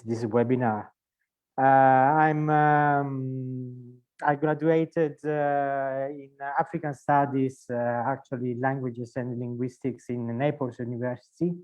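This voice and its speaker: A man from Sicily with an Italian accent, presenting his linguistic research in a webinar from his home, speaking haltingly with many fillers and restarts. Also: medium pitch. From Sicily, Italy. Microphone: video call. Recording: dull lo-fi video call audio.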